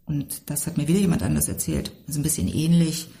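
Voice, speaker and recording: A woman from Berlin with a lowish, mellow voice chats reflectively in a relaxed podcast conversation. She rambles through her thoughts and keeps checking in with her listener. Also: fast, creaky. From Berlin, Germany. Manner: reflective, rambling, adds tag questions.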